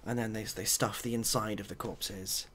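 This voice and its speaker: A soft-spoken man improvising a story in a hesitant, dry, rambling and self-deprecating way. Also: fairly low pitch, fairly even intonation, moderate pace, slight background noise. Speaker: ASMR video host.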